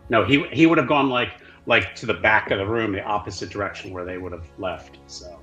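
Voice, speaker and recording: A man explains his character's actions in a tabletop game, speaking informally. His voice is a bit low, with faint music behind it. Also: fairly quick, bright, forceful tone, roomy sound, muffled recording. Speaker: tabletop role-player. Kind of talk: casual game narration.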